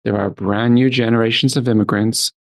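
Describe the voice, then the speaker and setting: A man's voice: medium pitch, steady tone, brisk, creaky. A man with an Irish accent speaking as a guest in a casual interview, earnest and repeating phrases for emphasis. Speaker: interview guest, tech company founder and CEO.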